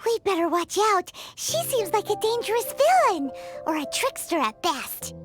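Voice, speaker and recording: A tiny excitable fairy girl with a very high, squeaky voice that bounces all over the place. She chatters and refers to herself by name, with game music playing loudly under her. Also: moderate pace. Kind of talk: video game cutscene dialogue.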